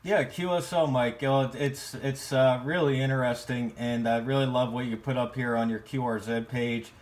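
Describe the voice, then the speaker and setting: An American man chatting casually as an amateur radio operator, with halting, repeated phrasing. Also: mid-range voice, fairly even intonation, heavy background noise. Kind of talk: casual ham radio conversation.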